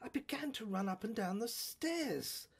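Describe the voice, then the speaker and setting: Husky, halting woman storyteller. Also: fairly high pitch, expressive. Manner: halting, repeats words, musing exclamations. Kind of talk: dramatic first-person storytelling for a serial audio play.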